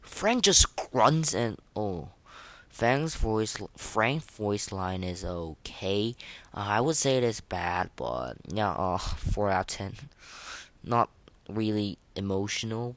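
Casual podcast host, sex ambiguous by ear, mid-low voice, halting and opinionated, close dry sound. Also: expressive, dry recording. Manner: hesitant, halting, rambling delivery; opinionated, slangy. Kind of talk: casual unscripted game review commentary.

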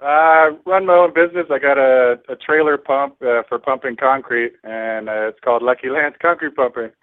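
A man's voice: mid-range voice, moderate pace, dry recording. Laid-back man on a tinny phone line. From California. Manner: laid-back, easygoing, laughs a lot. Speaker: guest co-host. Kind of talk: casual chat.

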